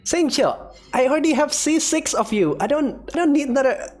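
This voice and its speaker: A man giving casual, expressive let's-play commentary, often repeating his phrases. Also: very high voice, brisk, soft background music. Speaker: gaming video creator.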